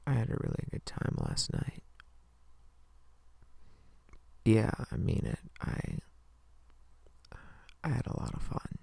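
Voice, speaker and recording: A man playing a sleepy, affectionate partner the morning after a hookup in an intimate ASMR roleplay, murmuring hesitantly and tenderly in a breathy, gravelly voice close to the mic. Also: lowish voice, wildly swooping pitch, unhurried, mellow, no room sound, full character voice. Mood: shy, affectionate.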